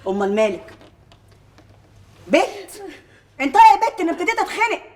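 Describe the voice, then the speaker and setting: Actress as bossy motherly Egyptian household mistress, quick-fire scolding, fairly high voice, TV drama. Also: expressive, close to an everyday voice. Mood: insistent, prodding. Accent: Egyptian Arabic. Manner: scolding, insistent. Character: bossy, motherly mistress of a household, sharp-tongued but fond. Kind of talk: scripted TV drama dialogue.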